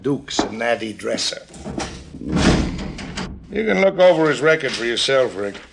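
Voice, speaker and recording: A man's voice: mid-range voice, sing-song, measured pace, creaky. An American man acting a friendly, matter-of-fact police detective in an old radio drama, on a noisy vintage recording. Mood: businesslike.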